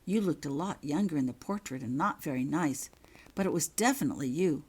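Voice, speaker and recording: Storybook role: young witch pupil played by a woman, small-voiced, polite, earnest, very animated intonation. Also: low voice, fairly quick, breathy edge. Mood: pleased.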